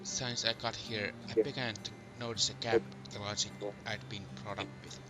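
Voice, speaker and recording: Man playing a low-voiced, wary game hero narrating. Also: little pitch movement, moderate pace, natural voice. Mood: doubtful, brooding. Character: wary male hero narrating, suspicious of demons. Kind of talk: amateur visual novel fandub narration.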